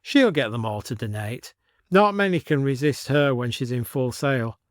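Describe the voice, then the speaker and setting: A man acts an earnest male village doctor in an audio story. He sounds confident and persuasive, talking conversationally in a slightly raised pitch, recorded close and dry. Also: normal speed, no echo, lightly acted.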